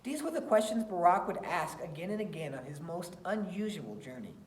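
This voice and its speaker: A woman reading a children's picture book aloud in an expressive storytelling style. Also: fairly low pitch, brisk. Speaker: read-aloud reader. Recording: roomy, echoey sound.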